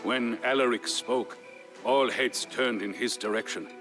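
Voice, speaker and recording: A man narrating as a grave Visigoth storyteller, recounting war history in a solemn, measured, theatrical way for a historical game. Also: mid-range voice, steady tone. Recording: music behind the voice. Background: music.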